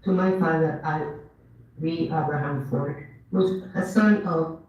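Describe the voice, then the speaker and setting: A speaker from Ethiopia giving an earnest, measured commemorative speech at an event, lifting their voice on key words. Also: mid-range voice, steady tone. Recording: dull, echoey hall, mic far off.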